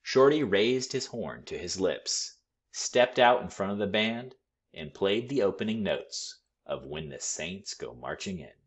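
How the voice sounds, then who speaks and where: Deep-voiced, expressive male storyteller. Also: unhurried, slightly rough. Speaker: school read-aloud storyteller. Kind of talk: expressive picture-book reading for children.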